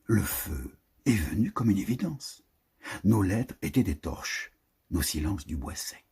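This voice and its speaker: A man giving a literary reading of a prose poem in a solemn, declamatory manner with deliberate phrasing. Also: deep voice, big pitch swings, a little mellow, breathy edge.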